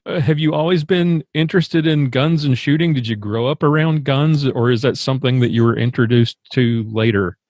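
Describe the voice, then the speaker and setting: A man's voice: medium pitch, steady tone, moderate pace, few pauses, a little mellow, no echo, clean studio sound. A man hosting a podcast, asking friendly, casual interview questions. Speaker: podcast host.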